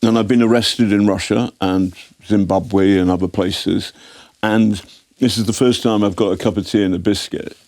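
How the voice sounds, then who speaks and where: English-accented man, low voice, wry storyteller, frequent restarts, dry studio sound. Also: steady tone, moderate pace, darkish tone, dry recording. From Britain. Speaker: guest journalist. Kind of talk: anecdotal storytelling in interview.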